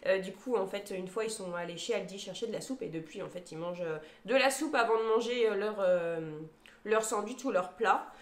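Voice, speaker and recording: A woman's voice: medium pitch, brisk. Chatty French woman in an echoey room. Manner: chatty, lots of hesitation fillers, lists items one by one. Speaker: vlogger showing her grocery haul. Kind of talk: casual chat to camera. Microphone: phone camera.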